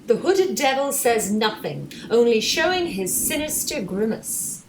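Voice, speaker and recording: A woman's voice: fairly high pitch, very animated, a little slow. A woman narrating a scripted tale for a radio play in a storytelling style. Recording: echoey room, faint noise.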